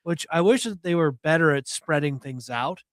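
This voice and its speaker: A man with a somewhat high voice gives earnest, analytical takes in casual chat. The recording is dry and close, with little room sound. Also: expressive, normal speed, dry recording. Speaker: podcast co-host.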